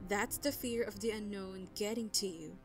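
A woman's voice: medium pitch, moderate pace, faint music behind, close to an everyday voice. A woman playing a dismissive, skeptical crew member in a fantasy audio drama.

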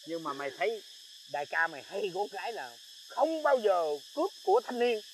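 A man's voice: sits high, sing-song, a little fast, background noise. A man playing a boastful, hot-tempered bandit boss who turns into a stammering coward. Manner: blustering, then panicky stammering shrieks. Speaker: comedy sketch actor. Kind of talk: comic sketch acting.

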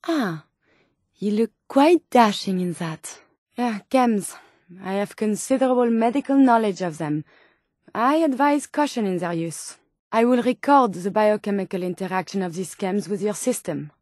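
An actress playing a polite, curious robot scientist in a video game, with a French accent and a formal, precise, earnest manner. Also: female voice, medium pitch, lively intonation, moderate pace, darkish tone, no echo, studio quality, close to an everyday voice. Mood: inquisitive, helpful. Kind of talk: video game companion voice lines.

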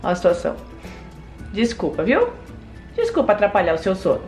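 A young woman from Brazil vlogging casually to a handheld camera, talking in a lively, teasing way. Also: medium pitch, a little fast. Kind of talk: casual vlog chat to camera. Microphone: handheld camera at arm's length. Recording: music playing in the background. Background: loud party music nearby.

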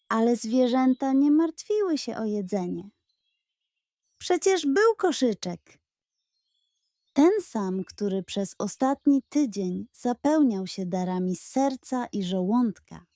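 Bedtime story narrator: woman, unhurried, soft warm tone, expressive and playfully dramatic. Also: fairly high pitch, dry recording, studio quality. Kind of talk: scripted children's bedtime narration.

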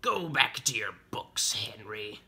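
Guy doing a gruff pirate bunny crewman, scornful, hard bright edge, storybook voice. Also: on the low side, very animated, slow, pitched below the performer's own voice, full character voice. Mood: dismissive. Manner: dismissive, taunting. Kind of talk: storybook character voice.

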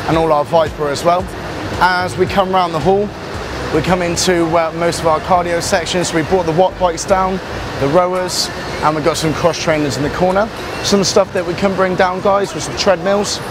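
A man's voice: a little high, fairly even intonation, moderate pace, noisy background. Upbeat man giving a gym tour. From England. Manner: upbeat, chatty, many fillers. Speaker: personal trainer. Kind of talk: walking gym tour to camera. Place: large gym hall and corridors, indoors. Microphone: camera mic, handheld camera following. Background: fans and building work.

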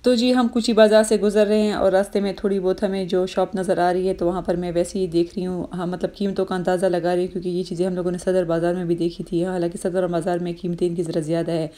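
A woman's voice: on the low side, little pitch movement, quick pace, hardly any pauses, dark tone. A woman in her thirties, a vlogger narrating a casual shopping trip through bazaar shops, chatty and explanatory, with many fillers. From Pakistan.

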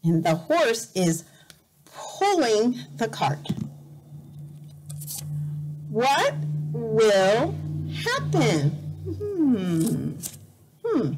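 A middle-aged woman reads to young children like a teacher. Her voice sits fairly high and swings widely in pitch. She goes very slowly and deliberately, repeating and explaining simple words with expressive emphasis. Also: background noise. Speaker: volunteer teacher reading a picture book to young learners. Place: indoor room at home.

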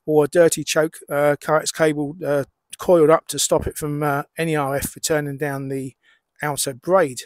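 A middle-aged man explaining and demonstrating as a hobbyist presenter, in measured short phrases with pauses. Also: a little high, no echo. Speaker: amateur radio hobbyist presenter.

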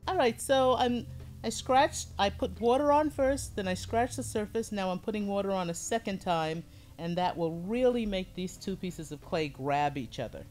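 A middle-aged woman with a low voice teaching a hands-on pottery lesson in a studio, explaining each step calmly as she works. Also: moderate pace, soft background music. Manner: calm, explains step by step while working with hands. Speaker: ceramics teacher.

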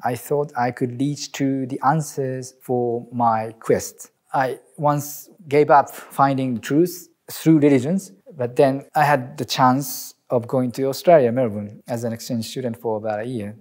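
A middle-aged man from Japan with a Japanese accent, an imam telling his story in an interview, halting and reflective, with frequent restarts. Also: mid-range voice, darkish tone. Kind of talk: interview testimony.

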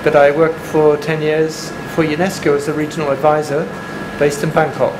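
A man's voice: a little high, level tone, moderate pace. A man from New Zealand chatting in a seminar, asking people friendly questions. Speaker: seminar participant. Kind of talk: casual chat. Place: classroom. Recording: echoey room with noise.

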